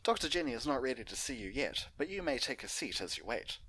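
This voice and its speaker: Man putting on a raised-pitch lady pony receptionist. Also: big pitch swings, fast, bright, forceful tone, husky, exaggerated voice. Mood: brisk, polite. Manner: businesslike, polite. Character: brisk middle-aged female pony receptionist.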